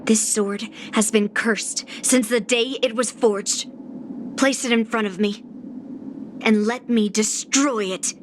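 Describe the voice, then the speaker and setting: Bold girl sword hunter, slightly high pitch, measured pace, stubborn, blunt, video game dialogue. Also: fairly even intonation, a little hiss or hum. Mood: defiant. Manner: defiant, blunt.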